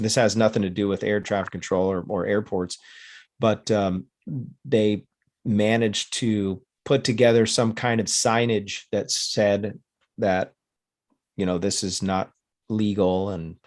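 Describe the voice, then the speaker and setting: A man with a fairly low voice hosts a casual interview show. He rambles and halts, circling around a thought before he puts it as a question. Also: darkish tone. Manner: rambling, halting. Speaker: podcast host, paramotor pilot.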